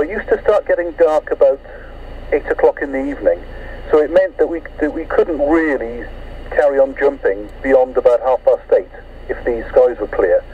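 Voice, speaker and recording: A man from Britain chatting over CB radio, polite and measured, saying "understood" and "I must admit". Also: medium pitch, a little hiss or hum. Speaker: CB radio net participant. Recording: distant phone-like radio audio.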